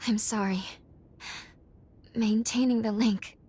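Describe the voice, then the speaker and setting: A woman voicing a gentle, earnest young time traveler in a video game, with formal, polite, measured phrasing. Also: on the high side, steady tone, reverberant, distant mic. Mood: strained, weak. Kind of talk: scripted video game cutscene acting.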